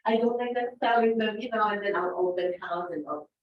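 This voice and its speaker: A woman's voice: mid-range voice, brisk, highs cut off. A woman whose first language is Tagalog, eagerly sharing her experience in a class discussion. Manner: eager, loosely phrased. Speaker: student agent. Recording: distant echoey classroom with faint noise.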